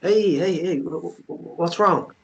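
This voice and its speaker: A man acting a pleading, protective young man in a fantasy romance table read, with halting, earnest delivery on a dull recording. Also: on the high side. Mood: concerned.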